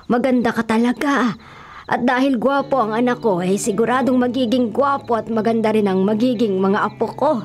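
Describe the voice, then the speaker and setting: A Filipino woman acting a doting, affectionate mother in a radio drama, with an expressive delivery. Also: on the high side, normal speed, close to an everyday voice. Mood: doting, delighted. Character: doting, warm Filipino mother eager for grandchildren. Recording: faint music behind the voice.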